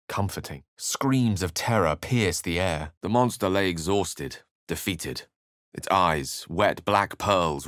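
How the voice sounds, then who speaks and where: A man's voice: low-pitched, fairly bright tone, clean tone, no room sound, clean studio sound. A man playing a refined, erudite wizard in a fantasy video game, speaking in an articulate, theatrical storyteller's manner. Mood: storytelling, grave. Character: refined, erudite male human wizard, eloquent and wry.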